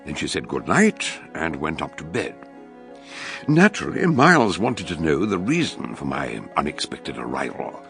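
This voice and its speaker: An actor playing a shrewd, courteous older British detective in a radio drama, speaking in a ponderous, theatrical way. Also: male voice, very deep voice, huge pitch swings, normal speed, gravelly, fairly bright tone, faint music behind, heavily acted. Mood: grave storytelling. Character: shrewd, grave, genial older male detective. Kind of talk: radio drama acting and narration.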